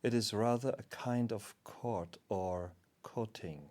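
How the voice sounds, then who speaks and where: A man voicing a calm, solemn cosmic guardian spirit, explaining in a formal, measured way as spiritual teaching. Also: on the low side.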